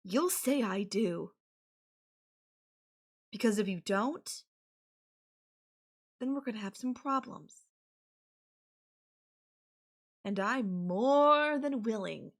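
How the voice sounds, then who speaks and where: A woman's voice: medium pitch, expressive. A woman playing a possessive, sarcastic and menacing yandere girlfriend in an audio roleplay, talking with sweet condescension. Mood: cold, threatening. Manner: slow, sarcastic, sweetly condescending with veiled threats. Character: possessive, menacing yandere girlfriend holding her partner captive. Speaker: voice actor.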